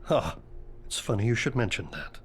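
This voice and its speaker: An actor playing a stiff, literal-minded teacher in a video game, speaking formally and precisely with a deadpan, stilted delivery. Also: male voice, fairly low pitch, moderate pace, slight background noise. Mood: puzzled deadpan. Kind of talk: video game cutscene dialogue.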